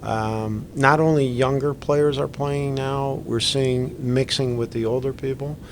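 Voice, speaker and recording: A middle-aged man with a gravelly voice speaks as a pickleball instructor in casual conversation. He tells his stories in a chatty, easygoing way, with a faint hum behind him. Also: medium pitch, steady tone, unhurried. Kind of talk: podcast conversation.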